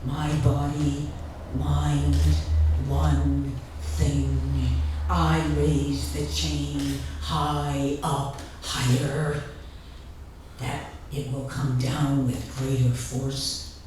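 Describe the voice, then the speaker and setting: A woman giving a live literary reading, deliberate and dramatic, pausing between short phrases. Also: rumbling low, flat delivery, far from the mic. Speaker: author reading at a literary event. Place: indoor reading event before an audience. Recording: echoey room from afar, faint noise.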